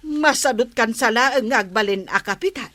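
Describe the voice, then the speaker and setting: A woman's voice: on the high side, moderate pace, a bit bright, no echo. A woman playing a nagging, exasperated character who scolds insistently with an Ilocano accent in a comic radio drama. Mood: scolding.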